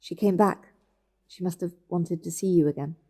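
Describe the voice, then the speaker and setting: A woman's voice: on the low side, moderate pace, dark tone, close to an everyday voice. An actress playing an anxious, insistent British woman in a naturalistic audio drama. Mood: concerned, probing. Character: anxious, insistent woman questioning her relatives in hospital.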